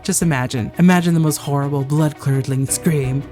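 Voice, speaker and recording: A woman doing a playful let's-play of a visual novel, reading and commenting in a theatrical, expressive way. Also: low-pitched, normal speed, soft background music.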